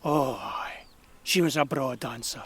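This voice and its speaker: A man's voice: mid-range voice, measured pace, a bit bright, slightly rough. An actor playing a kindly, nostalgic old man of about eighty with a broad rural Perthshire Scottish accent, reminiscing in a folksy way in an audio drama. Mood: fond, nostalgic. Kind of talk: naturalistic audio drama storytelling.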